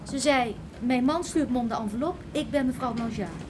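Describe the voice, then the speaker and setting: A woman's voice: fairly high pitch, moderate pace, natural voice. An actress playing a curt, defensive young female cashier in a radio play, on a noisy old recording.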